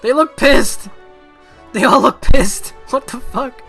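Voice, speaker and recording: A man's voice: very high-pitched, slow, vocal fry, a bit bright, soft background music. A man doing casual, irreverent commentary on a video game, reading text aloud and skimming past it with filler syllables. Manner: irreverent, skims text with blah-blahs and sing-song filler.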